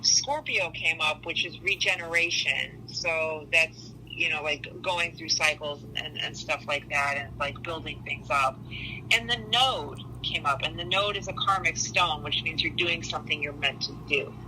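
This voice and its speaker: A woman's voice: sits low, moderate pace, pushed and bright, slight background noise, poor audio. A woman giving a casual astrology reading in a blunt, matter-of-fact way.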